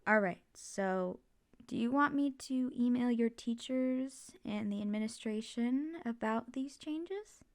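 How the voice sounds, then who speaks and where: A woman's voice: a little high, slow. A woman playing a supportive, playful mother in an ASMR comfort roleplay, talking casually and reassuringly to her kid. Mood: protective, playful. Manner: casual, reassuring, jokey, gently expressive. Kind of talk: ASMR comfort roleplay, one side of a conversation.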